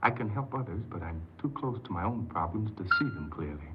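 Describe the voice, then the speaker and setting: An actor playing an earnest, troubled confessed forger in a 1950s radio drama, hesitant and pleading, repeating himself. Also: male voice, fairly low pitch, animated, a little fast, loud music behind the voice, lightly acted. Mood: reflective, earnest. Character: earnest, troubled male forger confessing his crime, desperate and bewildered. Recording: muffled, noisy 1950s radio recording.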